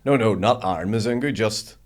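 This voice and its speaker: A man's voice: fairly low pitch, normal speed. An Irish man hosting a podcast, chatting casually in a dry, teasing way. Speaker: podcast host.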